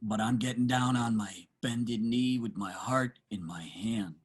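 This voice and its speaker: A husky-voiced man acted by a man at a table reading, down on his luck and hopelessly in love. He speaks plainly and haltingly, repeating himself as he earnestly pleads his case. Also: lowish voice. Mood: earnest pleading. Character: lovestruck, pleading down-and-out man, plain-spoken war veteran.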